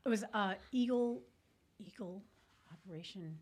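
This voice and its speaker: A woman's voice: medium pitch, normal speed, a little mellow, slight background noise. A woman telling a story informally as a podcast guest, candid and colloquial, with military jargon.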